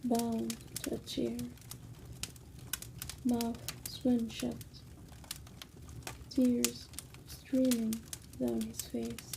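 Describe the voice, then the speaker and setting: A woman whispering an ASMR bedtime story in a hushed, soothing manner, with long pauses between phrases. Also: fairly high pitch, flat delivery, gravelly, noisy background.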